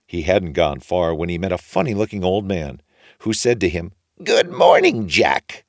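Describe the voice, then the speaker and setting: A man narrating a fairy tale for children in an expressive, measured storytelling manner. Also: deep voice, dry recording, studio quality.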